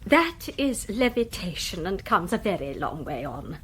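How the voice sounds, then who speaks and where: A woman's voice: fairly low pitch, very animated, unhurried, fairly bright tone, husky, exaggerated voice. An actress playing a smug, patronising, genteel lady disciple with an English accent and a sugary manner in a comic radio drama.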